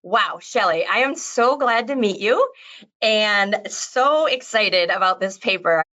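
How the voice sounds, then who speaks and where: A woman's voice: medium pitch, expressive, slow, sharp tone, dull audio. A woman podcast host who is a veterinarian, reading a scripted intro and then chatting eagerly with her guest. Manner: enthusiastic, effusive.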